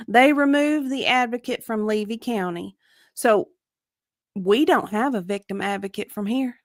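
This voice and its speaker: A woman's voice: a little high, a little slow, dry recording, clean studio sound. A woman acting the part of an evasive, defensive victim advocate under deposition, hesitant and clipped in a dramatic reading. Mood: earnest.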